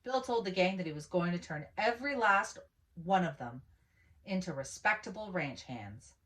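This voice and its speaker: A woman reading a picture book aloud as a storyteller, with animated, dramatic emphasis on key words. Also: on the low side, moderate pace. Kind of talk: expressive children's story read-aloud.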